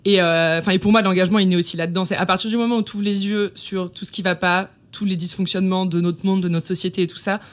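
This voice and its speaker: A woman's voice: on the low side, steady tone, quick pace, hardly any pauses. A woman around thirty talking casually as a guest in a podcast interview.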